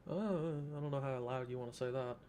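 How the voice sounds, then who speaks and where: A man's voice: mid-range voice, a little fast, dark tone, slight background noise. A man doing casual let's play commentary as a streamer, with wry, joking asides. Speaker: video game streamer.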